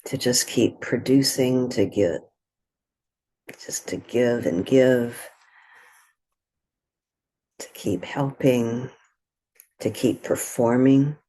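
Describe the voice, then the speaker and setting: A woman guides a meditation at a very unhurried pace. Her voice is soft and husky, with a breathy edge. She speaks gently and soothingly in short phrases, leaving room between them. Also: rumbling low, poor audio.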